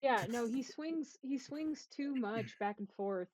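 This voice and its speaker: A woman's voice: a little high, takes it slowly, a little mellow, no room sound. A woman podcast host talking casually, making her points in an analytical, emphatic way over a voice call.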